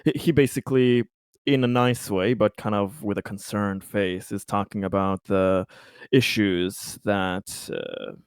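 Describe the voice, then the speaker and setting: A man playing a tabletop roleplaying game, casually describing his character's actions with halting, repeated words. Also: lowish voice, fairly even intonation, clean tone, dry recording, studio quality.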